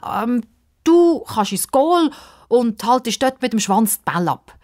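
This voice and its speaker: A woman's voice: fairly high pitch, very animated, measured pace, darkish tone, pitched above the performer's own voice. A woman voicing a stubborn, spirited young girl in a children's story, defiant and impulsive. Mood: eager, pleading.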